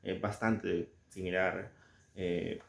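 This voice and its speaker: Low-voiced Salvadoran man musing on a book. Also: little pitch movement, slow, gravelly, roomy sound. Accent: Salvadoran Spanish. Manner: reflective, searching for words with soft chuckles. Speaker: book reviewer. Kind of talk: casual spoken book commentary.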